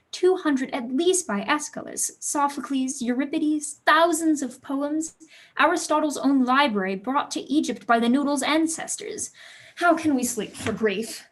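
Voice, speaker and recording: Casting: woman to play headstrong teenage girl pupil in period drama, highish voice, eloquent, eager, loves an argument. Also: a little slow. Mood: impassioned. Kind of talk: staged reading of a period play.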